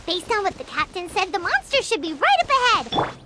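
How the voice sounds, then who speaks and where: Tiny chirpy companion creature, very high, bouncy pitch, loud game music behind. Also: female voice, sing-song, fairly quick. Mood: curious. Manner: talks about herself in third person. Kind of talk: video game voice acting.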